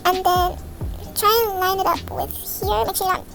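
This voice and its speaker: A woman's voice: very high-pitched, fairly even intonation, moderate pace, creaky. A young woman giving a casual, step-by-step tutorial as a video creator in a voice-over. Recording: music behind the voice. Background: background music.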